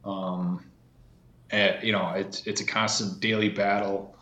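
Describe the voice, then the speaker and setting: A man in his thirties chatting casually as a podcast guest, halting with many fillers and restarts. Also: fairly low pitch, little pitch movement, sharp tone, echoey room, dull audio, slight background noise. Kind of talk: casual conversation.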